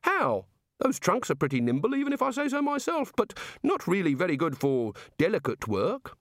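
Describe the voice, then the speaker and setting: A man's voice: sits high, huge pitch swings, moderate pace, dry recording, clean studio sound, voice pushed up, heavily acted. A man reading a story aloud and voicing a curious, oblivious male creator god who asks question after question. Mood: eager curious. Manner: chatty, eager, inquisitive.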